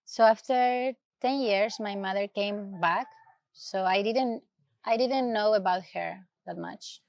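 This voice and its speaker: A woman from Ecuador with an Ecuadorian Spanish accent, telling her personal story as an interview guest, soft and hesitant as she searches for words in English. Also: mid-range voice, slow pace, creaky, no echo, studio quality.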